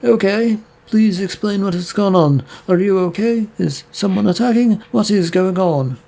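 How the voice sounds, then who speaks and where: A man voicing an emergency phone dispatcher asking brisk, urgent questions. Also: sits high, gravelly, warm tone, pitched above the performer's own voice.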